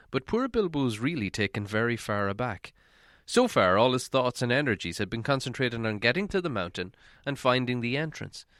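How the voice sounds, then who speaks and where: A man's voice: fairly low pitch, fairly bright tone, smooth, no room sound. Dry-sounding man narrating a storybook. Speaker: audiobook narrator.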